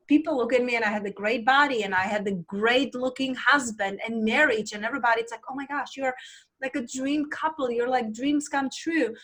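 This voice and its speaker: A woman's voice: on the high side, a bit bright. Czech-accented woman, earnest and confessional. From Czech Republic. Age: 30s. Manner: earnest, confessional, many fillers. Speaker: guest, life coach. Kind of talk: candid interview storytelling.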